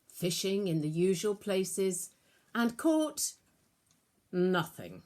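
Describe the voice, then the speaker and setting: A lower-voiced woman reads a scripted children's Bible story. She takes her time, speaking slowly in simple phrases with deliberate, expressive delivery. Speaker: storyteller and prayer leader.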